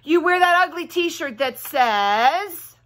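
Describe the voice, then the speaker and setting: A woman's voice: high-pitched, animated, slow pace, fairly bright tone, voice pushed up, heavily acted. A woman voicing a bossy, fierce young girl from a children's storybook, demanding and indignant. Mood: accusing.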